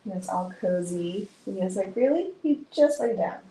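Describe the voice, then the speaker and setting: A woman hosts a long livestream and chats with her viewers as she puts together a puzzle. She is excitable and talkative, and her voice has gone a little hoarse. Her pitch sits in the middle, and the room around her echoes over a faint hiss. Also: moderate pace. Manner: chatty and excitable, talking to viewers. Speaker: livestream host. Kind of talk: casual chat while doing a jigsaw puzzle.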